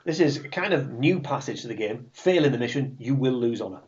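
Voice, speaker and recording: A man's voice: mid-range voice, lively intonation, a little fast. A man acting as game master, joking and teasing his players. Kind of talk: running a tabletop game. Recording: muffled lo-fi recording.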